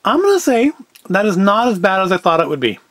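A man's voice: high-pitched, big pitch swings, brisk, a bit bright, no room sound. A man, chatting casually through a food review in a wry, self-deprecating, rambling way. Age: 40s. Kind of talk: casual review chat to camera.

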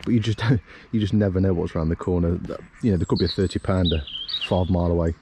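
A man's voice: low-pitched, steady tone, quick pace, dark tone, a little hiss or hum. A man narrating his own fishing trip outdoors by a drain, chatty and easygoing, often repeating himself. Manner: chatty, repeats "it really does". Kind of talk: casual outdoor vlog narration. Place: outdoors on a fenland drain bank.

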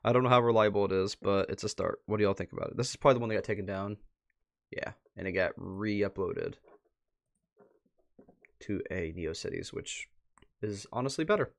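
Low-pitched man streaming casually. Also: fairly quick, vocal fry, pauses often. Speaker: livestream host. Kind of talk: casual livestream commentary, reading posts aloud. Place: indoors at a computer.

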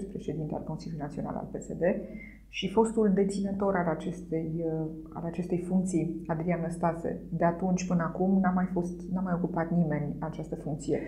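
A woman in her thirties asks a politician polite but probing questions in a formal register. Her voice sits a bit low. She speaks in a quiet studio. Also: poor audio. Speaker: interviewer. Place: newsroom studio, indoors.

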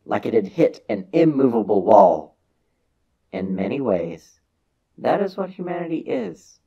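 A man voicing a grave old alien elder who recounts a war to children in a dramatic science fiction reading, with deliberate theatrical pauses. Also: lowish voice, vocal fry, slightly rough, dull audio, heavily acted. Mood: grave, intense.

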